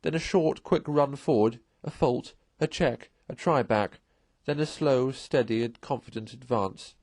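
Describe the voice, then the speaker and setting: A man narrates a storybook expressively in a medium-pitched voice. The recording is close and dry, with very little room sound. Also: a little slow, a little mellow, no room sound. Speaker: audiobook reader.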